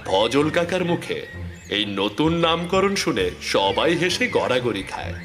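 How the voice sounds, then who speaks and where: A man's voice: mid-range voice, big pitch swings, measured pace, long reverb tail, over music. A man narrating a scripted comic cartoon story.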